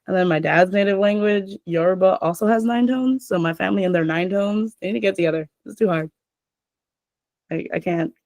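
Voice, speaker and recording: A woman's voice: medium pitch, a little fast, a little mellow, dry recording. A young adult woman hosting a casual chat, rambling with lots of filler words.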